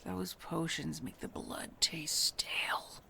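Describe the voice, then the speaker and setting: Woman acting breathy, sly, languid vampire brother. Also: sits low, fairly even intonation, slow, bright, forceful tone, exaggerated voice. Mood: hungry, insistent. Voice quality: breathy, half-whispered. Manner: languid, teasing, mocking. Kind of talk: audio roleplay drama acting.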